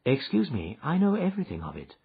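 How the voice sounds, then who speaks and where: A man voicing a calm, confident detective who speaks blandly and cheerily, heard as a dull lo-fi audiobook recording. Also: fairly high pitch, brisk, creaky, husky, voice pushed up, full character voice. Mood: suave.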